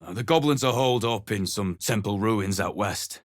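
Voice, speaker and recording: An actor playing a bitter, gruff male human adventurer who has lost his crew, speaking with a surly, coarse, cursing manner. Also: lowish voice, normal speed, no room sound, lightly acted. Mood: grim, surly. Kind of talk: video game voice acting. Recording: dry, close game studio recording.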